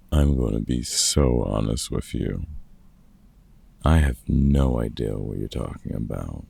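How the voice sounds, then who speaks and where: A man playing a gruff, wry older vampire hunter in an ASMR roleplay, a fatherly mentor with a very gravelly, husky voice and dry, teasing delivery. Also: extremely low pitch, warm tone, full character voice. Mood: wry, supportive. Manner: dry, teasing, hesitant with unfamiliar slang. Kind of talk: ASMR roleplay audio drama, one-sided conversation.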